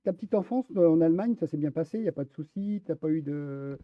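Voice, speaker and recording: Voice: mid-range voice, expressive, fast talker. Interviewer with a dull lo-fi sound. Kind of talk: casual interview chat.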